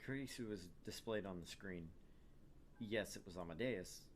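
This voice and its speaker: A man's voice: low-pitched, normal speed, slight background noise. A man hosting a let's play, chatting casually and reading a visual novel's narration aloud. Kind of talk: gaming chat and first-person story read-aloud.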